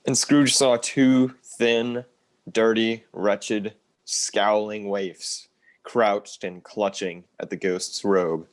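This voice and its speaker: A man playing the solemn narrator of a ghostly radio play, measured and dramatic. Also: fairly low pitch, fairly even intonation. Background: music.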